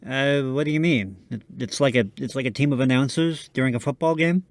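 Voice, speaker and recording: A man's voice: mid-range voice, brisk, dry recording. A man hosting a radio show in scripted comedic banter, playing the polite, slightly hesitant straight man.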